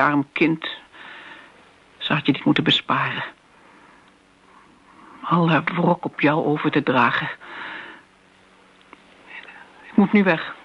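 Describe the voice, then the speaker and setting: Radio play part: prim older lady, husky and creaky, easily flustered, lo-fi sound. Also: low voice, exaggerated voice. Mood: indignant. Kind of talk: radio drama acting. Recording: muffled, lo-fi radio recording.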